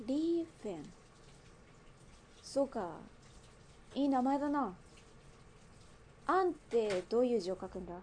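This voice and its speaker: A woman's voice: a little high, big pitch swings, measured pace, long pauses, darkish tone, a little hiss or hum. A woman acting in a radio drama as a brash underworld gang boss, with blunt, mannish, teasing speech. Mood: musing.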